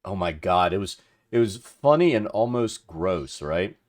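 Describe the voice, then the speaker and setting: A middle-aged man with a deep radio-like voice, chatting casually and thinking aloud as he hosts a livestream for his viewers. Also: lively intonation, a little slow. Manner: rambling, informal, thinking aloud. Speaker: livestream host. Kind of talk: casual chat with stream viewers while working.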